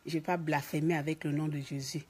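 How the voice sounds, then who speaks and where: A woman's voice: deep voice, little pitch movement, a little fast, darkish tone, slightly rough. A woman from Gabon with a Gabonese French accent, scolding and mocking in an emphatic political rant. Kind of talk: ranting political commentary to camera. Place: office.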